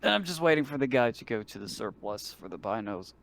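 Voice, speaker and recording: A man's voice: mid-range voice, very animated, quick pace, gravelly, a bit bright, a little hiss or hum. A man chatting casually as a player in an online tabletop game. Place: online voice call.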